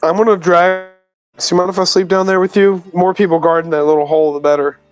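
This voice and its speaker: Higher-pitched, fairly even-toned male player. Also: fast talker, smooth, no room sound, faint music behind. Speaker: tabletop game player. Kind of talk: casual in-game chat.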